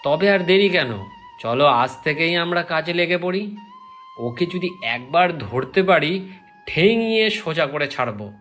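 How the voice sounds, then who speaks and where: A man's voice: fairly high pitch, lively intonation, normal speed, a bit bright, faint music behind. A man voicing a righteous, combative village man in a cartoon, accusing and emphatic. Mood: suspicious, determined. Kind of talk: cartoon voice acting.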